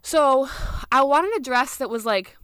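Young American female storyteller, smooth clear voice, slangy and animated, recorded close and dry. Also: sits high, measured pace, no room sound. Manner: animated, slangy, with quoted asides. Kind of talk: casual storytelling chat.

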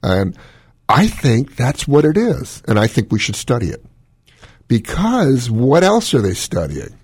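Doctor guy on call-in radio, smoky husky voice, goes on and on with his theories. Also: medium pitch, huge pitch swings, a little slow, darkish tone, no echo, studio quality. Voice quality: smoky, gravelly voice. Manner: opinionated, rambling explanations. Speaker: cardiologist radio host.

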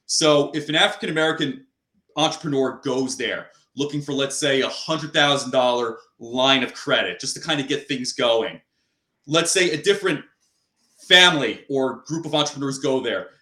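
A man's voice: mid-range voice, normal speed, sharp tone. A man hosting an interview, talking in long, rambling turns full of fillers. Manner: rambling, with many "you know" fillers. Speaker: host. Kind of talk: interview conversation. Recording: roomy sound.

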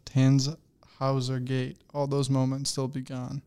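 A man's voice: mid-range voice, monotone, darkish tone, smooth. A young man co-hosting a casual podcast, teasing his friend and quoting movie lines. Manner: teasing, quick, playful. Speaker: podcast co-host. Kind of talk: casual chat.

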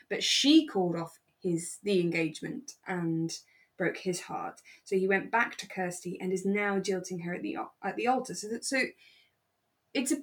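A woman co-hosting a podcast, chatting casually about a drama and retelling it in an animated way. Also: on the low side. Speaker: podcast co-host. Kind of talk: relaxed chat discussing a radio drama.

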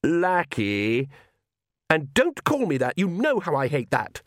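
A man's voice: fairly high pitch, wildly swooping pitch, takes it slowly, no echo, studio quality, pitched above the performer's own voice, exaggerated voice. A man voicing a haughty, exasperated male vampire patriarch in an audiobook, with a pompous, formal manner.

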